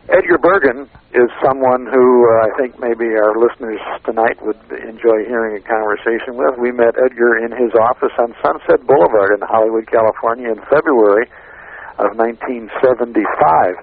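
An American man telling casual anecdotes in a halting, meandering way, repeating phrases as he goes. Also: mid-range voice, vocal fry. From United States, Chicago area. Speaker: radio interviewer, guest recalling past interviews. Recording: lo-fi narrow radio or phone sound.

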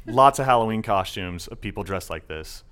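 A man's voice: on the low side, expressive, moderate pace, a bit bright, clean tone. A young man hosting a casual podcast chat, joking and often slipping into silly put-on voices. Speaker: podcast host.

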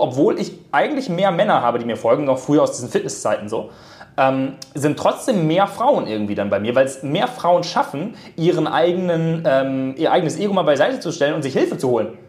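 Measured man explaining in an echoey room. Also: a little high, big pitch swings, a little mellow. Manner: measured, explains carefully, corrects wording. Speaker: coach. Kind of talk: casual podcast conversation.

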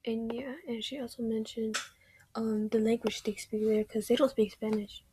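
A woman's voice: fairly high pitch, little pitch movement, normal speed, dark tone, slight background noise. A young woman hosting a podcast, recapping an interview in a chatty, casual way with many fillers and some whispery stretches. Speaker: podcast host.

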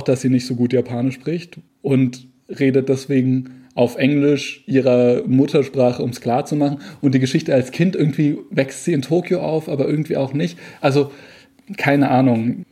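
A man talking casually and enthusiastically about a film in a relaxed discussion. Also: mid-range voice, husky. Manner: enthusiastic, conversational, loose phrasing.

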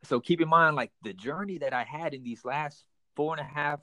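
A man's voice: a little high, moderate pace, sharp tone, no echo. A young man from Houston, Texas, earnestly telling his life story as an interview guest, with many fillers and restarts. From United States, raised in Houston, Texas. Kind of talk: personal testimony in an interview.

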